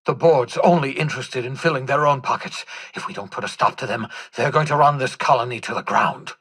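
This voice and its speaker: An actor playing an eccentric, vain older mad scientist in a video game, with a husky voice and a theatrical, erudite, rambling manner. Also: male voice, mid-range voice, brisk, a bit bright. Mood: earnest, urgent. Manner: theatrical, erudite, rambling, swinging from mutters to exclamations. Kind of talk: video game character dialogue.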